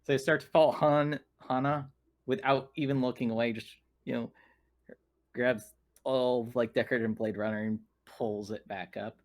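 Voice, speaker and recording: A man's voice: mid-range voice, fairly even intonation, normal speed. Male tabletop player, middle register, easygoing casual game chat over an online call.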